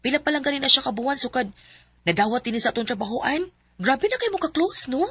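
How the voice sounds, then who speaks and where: A woman's voice: sits high, lively intonation, fast, pushed and bright, husky, no echo, full character voice. An actress playing a teasing female coworker friend in a radio drama. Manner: teasing, scolding.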